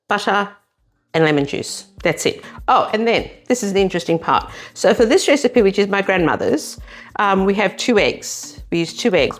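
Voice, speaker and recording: A woman with a South African accent talks casually through a recipe in a slightly low voice, explaining each step as she goes. She sounds middle-aged and at ease in a home kitchen, with soft music playing underneath. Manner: chatty, explains steps as she works. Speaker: cooking show co-host. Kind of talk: casual cooking demonstration.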